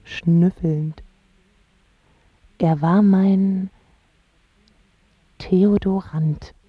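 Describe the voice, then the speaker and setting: A woman's voice: mid-range voice, fairly even intonation, extremely slow, husky, dry recording. An actress playing a smitten young woman from a pampered home, narrating intimately and lyrically in a radio drama. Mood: tender. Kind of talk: scripted first-person narration in a German radio drama. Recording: slightly dull sound with music underneath. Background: prominent music.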